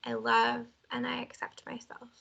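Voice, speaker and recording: Bright gravelly woman leading tapping. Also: fairly high pitch, completely flat delivery, moderate pace, muffled recording. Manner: gentle, encouraging, leads phrases for repetition. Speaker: tapping session leader. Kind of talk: guided emotional freedom technique session.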